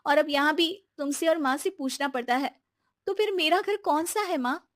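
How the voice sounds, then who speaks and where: A woman's voice: sits high, moderate pace, fairly bright tone, no echo, clean studio sound, pitched above the performer's own voice. A woman voicing an earnest, dutiful young daughter-in-law in a story, with a polite, pleading manner. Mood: hurt, pleading. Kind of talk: animated Hindi moral story dialogue.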